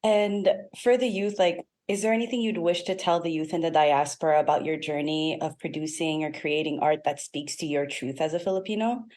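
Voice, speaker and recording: A woman's voice: sits low, steady tone, a little fast, hardly any pauses, a little mellow. A woman co-hosting a radio show, chatting casually with a guest in an effusive, informal way. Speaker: radio show co-host, interviewer. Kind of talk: casual conversational interviewing.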